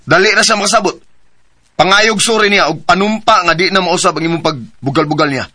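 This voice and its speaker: A forceful, high-set male voice as a protective big brother. He is insistent and admonishing, delivered as radio drama. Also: fairly quick, full character voice. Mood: stern, scolding. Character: protective, scolding older brother, firm.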